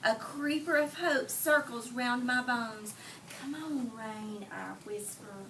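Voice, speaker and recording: A woman with a fairly high voice reads a picture book to young children as their teacher. Her delivery is expressive and deliberate, stopping to question her listeners. She is recorded at a distance from the mic, with clear room noise around her. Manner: expressive storytelling, slow deliberate phrasing, pausing to question listeners. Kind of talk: interactive picture-book read aloud.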